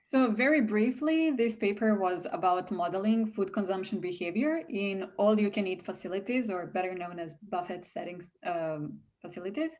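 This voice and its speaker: A woman's voice: medium pitch, moderate pace, few pauses, darkish tone. Young woman explaining research. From Serbia. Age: young adult. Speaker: researcher, interview guest.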